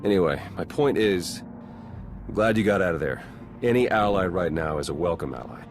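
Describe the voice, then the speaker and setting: An actor playing a casual, slightly awkward spy ally in a video game, talking in an informal way. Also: male voice, deep voice, animated, brisk, soft background music. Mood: relieved. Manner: informal, colloquial. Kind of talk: video game cutscene acting.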